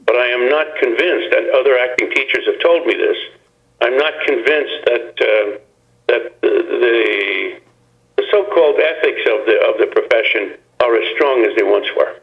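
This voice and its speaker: A man's voice: mid-range voice, normal speed. Older man rambling over a dull phone line. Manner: rambling, reflective, many restarts. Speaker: actor guest. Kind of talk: phone-in interview.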